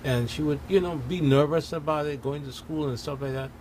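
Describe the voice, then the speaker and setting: A man's voice: on the high side, a little fast, darkish tone, a little hiss or hum, rough recording. A man in a casual radio discussion, talking earnestly and anecdotally as a panelist.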